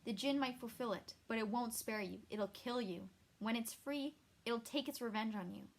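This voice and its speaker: A woman voicing a grim, weary male monster hunter who speaks low and gravely. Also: normal speed, a little hiss or hum.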